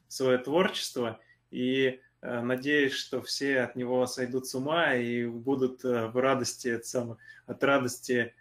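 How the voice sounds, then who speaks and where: Young male composer, plain voice, hesitant and halting, webcam call in a small room. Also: medium pitch, flat delivery, fairly bright tone. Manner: hesitant, many fillers. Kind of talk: casual video call chat.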